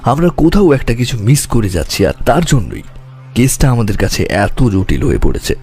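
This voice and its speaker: Guy doing a sharp intense detective for an audio drama, deliberate, big pitch swings, music underneath. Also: very animated. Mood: brooding, analytical. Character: sharp, intense male detective reasoning through a murder case. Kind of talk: audio story acting.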